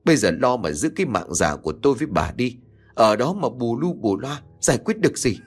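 A man voicing an old head of the house who barks orders gruffly and snaps in anger. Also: mid-range voice, big pitch swings, brisk, soft background music. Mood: angry. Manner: gruff barking. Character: domineering guilty old patriarch, short-tempered.

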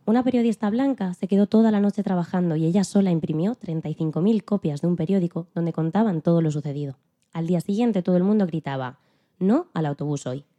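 A woman plays an elderly female activist telling her story in a scripted interview. Her voice is very soft-edged and dark, slightly low, and moves along quickly. She stays calm, earnest and plain-spoken, with quiet dignity. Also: dull audio, natural voice. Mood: earnest recounting. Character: Rosa Parks, elderly woman activist recalling the bus boycott, dignified. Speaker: podcast guest in a dramatized interview. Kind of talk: scripted first-person storytelling in interview form.

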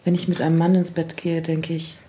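An actress playing a blunt, provocative filmmaker in a naturalistic German radio drama, speaking frankly and with detachment. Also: female voice, low voice, completely flat delivery, a little fast, dark tone, a little hiss or hum, close to an everyday voice. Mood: cool, provocative. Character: blunt, provocative woman working on a film.

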